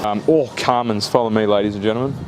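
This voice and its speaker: A man's voice: on the low side, fairly even intonation, quick pace. A young Australian man clowning casually as a mock vlog presenter, jokey and blokey. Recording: very noisy handheld vlog audio.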